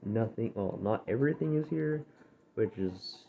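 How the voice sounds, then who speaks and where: A man hosting his gaming channel, chatting casually over gameplay with a dry, gravelly voice because he has just woken up. He rambles and corrects himself as he goes. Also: on the low side, animated, moderate pace, mellow. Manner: rambling, self-correcting, drifting between topics. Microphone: phone screen-recorder audio. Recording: tinny, phone-like, faint noise.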